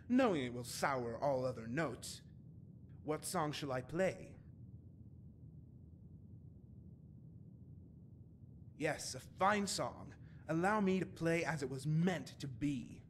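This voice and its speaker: A man's voice: a little high, normal speed, a little hiss or hum, lightly acted. A man playing a haughty, prickly poet and bard in a fantasy game, speaking in a florid, pompous, scornful way. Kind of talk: scripted fantasy game dialogue.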